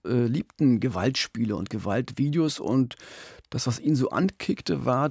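A middle-aged man tells a crime story in conversational podcast style. His medium-pitched voice has a noticeable fry, and the studio recording is very dry. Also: a little mellow, dry recording. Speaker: podcast co-host, crime reporter. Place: small radio studio. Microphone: studio microphone with pop filter, headphones.

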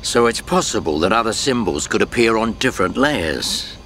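Middle-aged game adventurer, lowish voice, lively swings, casual and knowing. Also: male voice, moderate pace, a little hiss or hum. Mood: probing. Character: easygoing, shrewd middle-aged adventurer mentor. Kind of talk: video game cutscene acting.

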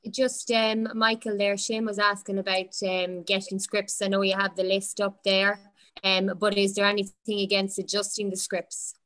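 A woman's voice: medium pitch, flat delivery, moderate pace, fairly bright tone, poor audio. A woman with an Irish accent moderating a workshop informally over a video call.

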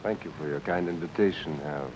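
A wary, curt refugee doctor. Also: male voice, very deep voice, brisk, darkish tone, a little hiss or hum. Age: 30s. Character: guarded male refugee doctor posing as Czech. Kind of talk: 1950s TV drama acting. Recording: dull old TV film sound with faint rain.

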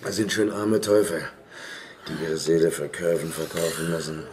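A man's voice: very deep voice, moderate pace, a little mellow. An actor playing a tipsy, garrulous seaman in a radio drama, rambling and repeating himself. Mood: gossiping.

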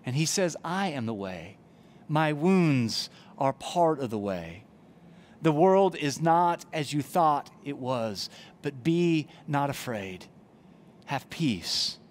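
Man in his forties, pastor, earnest sermon, slightly high voice, headset mic, church chapel. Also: very animated, takes it slowly, slight background noise. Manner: earnest, gestures broadly while preaching. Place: church chapel, indoors, before brick wall.

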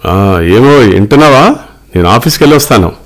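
A man plays a well-off middle-aged businessman and father in a cartoon moral tale. His voice sits on the low side, earnest and paternal, with a protective love for his family. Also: lively intonation, normal speed. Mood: matter-of-fact.

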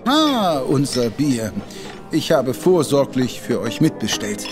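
An actor playing a proud, protective detective who speaks emphatically and with indignation. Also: male voice, medium pitch, sing-song, slow, over music. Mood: indignant, lively. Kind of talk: German audio drama acting. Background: faint background score.

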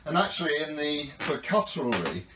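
A man's voice: fairly high pitch, big pitch swings, normal speed, heavy background noise. A man in his fifties, a sailing skipper, casually walking through a small yacht and explaining each step in a practical, friendly way, filmed on a handheld phone. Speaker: sailing school skipper giving a boat tour. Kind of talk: friendly walkthrough demonstration. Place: on and inside a small sailing yacht, outdoors then in the cabin. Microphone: handheld phone camera.

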